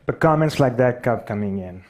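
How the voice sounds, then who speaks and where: A man's voice: medium pitch, animated, moderate pace, darkish tone. A man with a Pakistani accent telling a personal story, a speaker who stutters and pokes fun at himself. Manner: stutters, self-deprecating. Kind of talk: storytelling talk.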